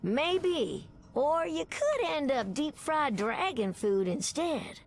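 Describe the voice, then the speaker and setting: Tough flirty superheroine, American Southern drawl, creaky edge, animated melody, video game. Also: female voice, high voice, slow pace, heavily acted. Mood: wry. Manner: drawling, folksy, teasing. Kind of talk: video game character voice acting.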